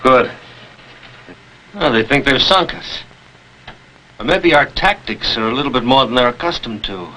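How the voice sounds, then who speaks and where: An actor playing a resolute, wry World War Two submarine captain, with clipped commands and dry understatement. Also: male voice, medium pitch, expressive, moderate pace, long pauses, bright, forceful tone, a little hiss or hum. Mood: dry, steady. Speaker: actor in a television war drama. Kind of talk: 1950s military drama acting. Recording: dull 1950s TV soundtrack with music.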